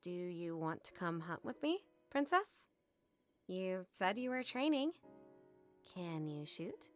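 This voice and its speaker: A woman's voice: fairly low pitch, very animated, slow pace, soft background music. A woman playing a spirited, protective young huntress in an audiodrama. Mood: eager. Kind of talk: audiodrama acting.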